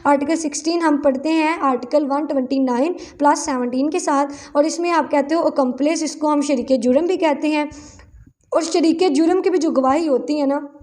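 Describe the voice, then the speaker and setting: A woman with a Pakistani accent speaks in a distinctly high-pitched voice. She lectures like a teacher addressing students, reading formal text aloud and then explaining it. Her delivery is instructional, often restating a point in simpler words. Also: moderate pace, a little mellow. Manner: instructional, frequently rephrasing to clarify. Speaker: law lecturer, advocate.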